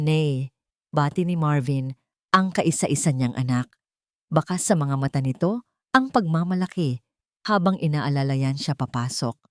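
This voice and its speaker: A woman's voice: low voice, a little mellow, no echo, clean studio sound. A woman narrating a sentimental scripted story as a storyteller, in a dramatic reading style.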